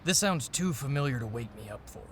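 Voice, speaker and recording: An actor playing a weary, gruff but caring newspaperman in a noir audio drama, dry and reluctant. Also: medium pitch, animated, fairly quick, fairly bright tone, close to an everyday voice. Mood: weary, irritated. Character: tired, gruff but caring male newspaperman, old friend. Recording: clear background noise of ambience effects.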